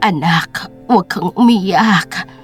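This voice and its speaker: A woman's voice: on the low side, slow, soft background music, voice pushed down. A woman voicing a frail, sickly old mother in an audio drama, speaking weakly and soothingly. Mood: tender, weak. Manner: weak, soothing.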